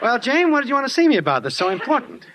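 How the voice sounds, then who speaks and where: An actor playing a slangy, cocky, shiftless boyfriend with a breezy, evasive manner in a 1940s radio sitcom. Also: male voice, very high-pitched, wildly swooping pitch, racing, sharp tone, some chatter behind, full character voice. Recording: very noisy vintage 1940s radio recording.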